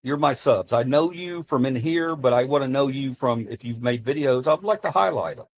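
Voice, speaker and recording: American guy, live streamer, mid-pitched voice, earnest and fired up, repeats himself, desk mic. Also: moderate pace. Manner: earnest, impassioned, repeats himself while speaking off the cuff. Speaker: livestreamer talking to chat. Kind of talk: casual live-stream chat.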